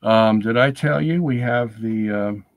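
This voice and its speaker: A middle-aged man hosting a live stream from his home study, chatting casually and checking in with "okay?", hoarse from a lingering cold. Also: fairly low pitch, a little slow, no echo. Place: home study lined with bookshelves.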